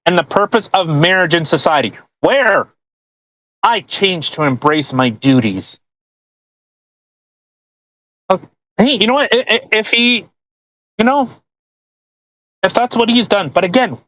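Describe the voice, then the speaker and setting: A podcast co-host reading a post aloud with casual, mocking commentary, sarcastic and quick to swear and interject. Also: medium pitch, fairly bright tone, a little hiss or hum, low-quality recording.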